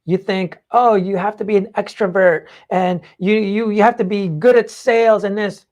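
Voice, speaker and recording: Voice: mid-range voice, fairly even intonation, moderate pace, a little mellow. Blunt talk show co-host chatting casually. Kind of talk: casual opinionated chat.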